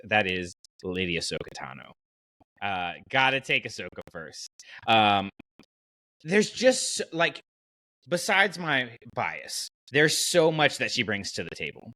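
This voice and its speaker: A man hosting a podcast in casual chat, with a playful, animated way of bantering. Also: on the low side, no echo. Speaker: podcast host.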